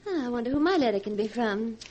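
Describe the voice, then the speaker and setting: An adoring, well-to-do wife acted by a woman in a 1940s radio play. She speaks in a fairly high, affectionate voice, gently coaxing. The vintage recording is hissy and noisy. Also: expressive, fast, close to an everyday voice. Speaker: actress in radio drama.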